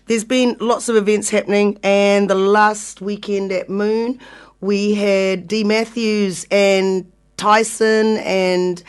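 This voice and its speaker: A woman hosting a radio chat show, relaxed and chatty, laughing easily. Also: mid-range voice, clean tone. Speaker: radio podcast host. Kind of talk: casual chat. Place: radio studio.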